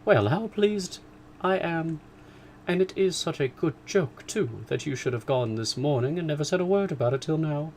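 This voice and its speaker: A man voicing an excitable, complaining middle-aged mother, raised well above his own voice with emphatic swoops. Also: mid-range voice, brisk, a little hiss or hum, voice pushed up, natural voice. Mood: gushing.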